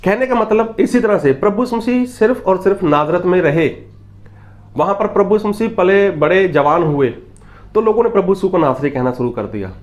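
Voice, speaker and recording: A man's voice: high voice, lively intonation, a little fast. Emphatic man preaching. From Punjab, India. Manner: repetitive emphatic teaching, rhetorical questions. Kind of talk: Hindi sermon with Bible readings.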